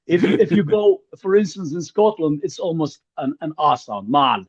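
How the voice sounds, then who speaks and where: A man's voice: a little high, animated, moderate pace, slightly rough. A man whose first language is Ukrainian, joining a workshop discussion on a video call, hesitant and restarting his phrases often. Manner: hesitant, many restarts and repeated words. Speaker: workshop participant. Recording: muffled lo-fi call audio.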